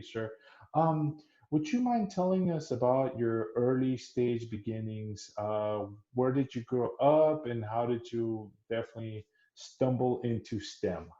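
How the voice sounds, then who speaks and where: A man's voice: mid-range voice, unhurried, warm tone, echoey room, highs cut off. A man hosting an interview podcast, with a formal, earnest way of speaking that often repeats words. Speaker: podcast host.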